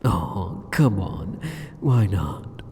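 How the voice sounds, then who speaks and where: A man playing a sadistic, arrogant demon king in a scripted ASMR roleplay, speaking straight to the listener in a taunting, condescending, theatrical manner with drawn-out phrases. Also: low-pitched, lively intonation, warm tone, husky, full character voice. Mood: coaxing, persuasive. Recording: long cavernous hall reverb.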